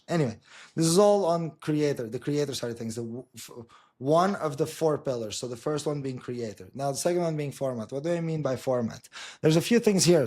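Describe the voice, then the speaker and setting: A man from his language talks through an informal explainer. He is blunt and emphatic, swears freely and keeps checking that the listener follows. His pitch sits in the middle range for a man. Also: darkish tone. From Portugal. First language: Portuguese. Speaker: marketing agency co-founder presenting.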